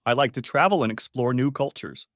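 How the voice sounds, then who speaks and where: A man teaching beginner English, reading short model sentences clearly and saying each one twice. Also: medium pitch, no room sound, clean studio sound. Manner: says each phrase twice, clearly and deliberately.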